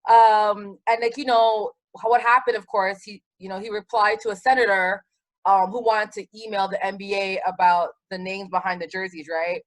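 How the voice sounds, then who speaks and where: A woman from Trinidad with a Trinidadian accent, co-hosting a podcast in casual chat, animated and quick to laugh. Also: medium pitch, low-quality recording. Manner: animated, laughs easily, rapid rambling asides. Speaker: podcast co-host.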